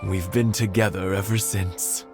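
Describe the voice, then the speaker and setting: An actor playing a kindly old village husband in a video game, reminiscing in a slow, reflective, measured manner. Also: male voice, low voice, steady tone. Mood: nostalgic. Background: background music.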